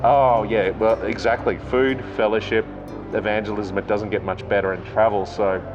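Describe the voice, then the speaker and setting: A man's voice: fairly low pitch, steady tone, moderate pace, dull audio, music in the background. A man from Australia or New Zealand interviewing a guest casually, encouraging and jokey, with lots of "yeah".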